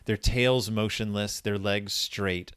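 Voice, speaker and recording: A man hosting a casual book discussion, informal and colloquial, retelling and quoting a story in an animated way. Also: on the low side, slow, clean tone, no echo, studio quality. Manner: animated, acts out quoted dialogue. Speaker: podcast host.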